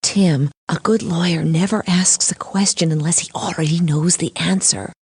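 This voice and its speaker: A woman voicing a sensible but anxious cartoon lawyer with a measured, teacherly manner. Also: lowish voice, steady tone, darkish tone, husky. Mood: worried. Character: sensible, anxious female lawyer mentoring a boy. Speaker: lawyer character. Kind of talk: animated courtroom cartoon voice acting.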